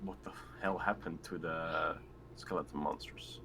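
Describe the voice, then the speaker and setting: Deep voiced guy just chatting during a tabletop game, little bit of background noise. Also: fairly even intonation, a little slow, creaky, pushed and bright. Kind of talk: casual game chat.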